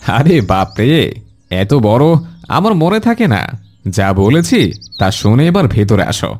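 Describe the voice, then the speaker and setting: A mid-pitched man's voice that swings very widely in pitch. He is an indulgent, slightly impatient father, expressive and coaxing, with music and birdsong behind him. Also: slow pace, exaggerated voice. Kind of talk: animated cartoon dialogue.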